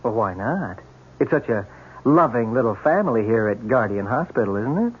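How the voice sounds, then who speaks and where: A man's voice: medium pitch, big pitch swings, moderate pace, slightly rough. A man acting the part of a bitter, self-pitying, jealous lame husband in a 1970s radio drama, with a sarcastic, wounded manner. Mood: sardonic. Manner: sarcastic, wounded, theatrically pointed. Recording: tinny, noisy 1970s radio broadcast.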